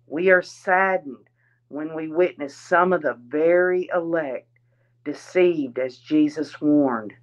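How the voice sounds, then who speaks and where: A woman reads a religious address aloud in a solemn, deliberate way. Her voice sits a little low and she takes her time, moving very slowly through each phrase. The recording is somewhat dull and lo-fi. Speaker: reader.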